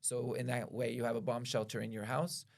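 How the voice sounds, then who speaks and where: Gravelly-voiced man from Israel, guest. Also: mid-range voice, flat delivery. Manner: measured, explains at length with pauses and self-corrections. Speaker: podcast guest, attorney. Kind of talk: explanatory conversation.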